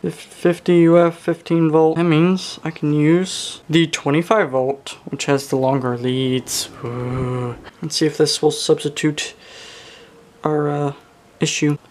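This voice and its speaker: A man's voice: fairly high pitch, fairly even intonation, slow, a little mellow, a little hiss or hum. A young man giving casual hands-on commentary as a hobbyist repairer, muttering to himself with exclamations and casual swearing. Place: indoors at a workbench.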